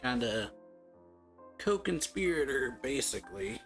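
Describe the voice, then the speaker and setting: A man's voice: medium pitch, sing-song, a little slow, gravelly, a bit bright, soft background music. A man hosting a reaction channel, giving casual, laid-back commentary with murmured remarks and chuckles.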